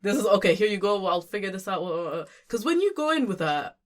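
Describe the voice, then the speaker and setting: A woman's voice: medium pitch, big pitch swings, fast talker. A young woman from Sudan, a guest and community worker, talking in a casual conversation with many fillers and restarts.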